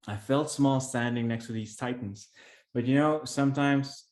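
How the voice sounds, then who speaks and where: A middle-aged Filipino man speaks as a podcast guest and tells his story earnestly, often restarting his sentences. His voice sits at an ordinary pitch. The room around him rings with echo. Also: normal speed, darkish tone. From Philippines. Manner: earnest, many restarts and fillers. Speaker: podcast guest, real estate investor. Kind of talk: conversational interview storytelling. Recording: echoey room.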